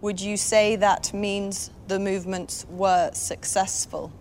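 A woman acting as a probing interviewer in a film dialogue. Her pace is slow and her pitch in the middle, over a faint rumble. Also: flat delivery, a little mellow, natural voice. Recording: faint rumbling background noise.

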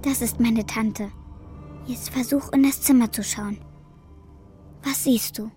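A young girl in a children's radio drama. Her voice is high, with an eager, questioning manner that carries longing and stubbornness. Light music runs underneath. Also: fairly even intonation, takes it slowly, dark tone. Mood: longing, tender.

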